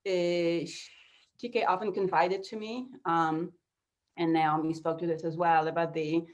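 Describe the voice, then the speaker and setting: A woman whose first language is Polish, a philosophy professor, giving an earnest, halting memorial tribute over a video call. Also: low voice, a little mellow. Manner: halting, repeats words, earnest. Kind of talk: memorial speech.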